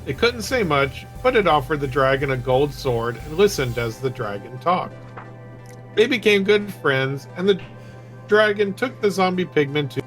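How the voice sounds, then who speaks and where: A man with a fairly high voice reads little stories aloud and chats casually over a game. Faint music plays behind him. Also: expressive, moderate pace, a bit bright. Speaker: guest co-player reading stories aloud. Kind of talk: storybook read-aloud and casual game chat.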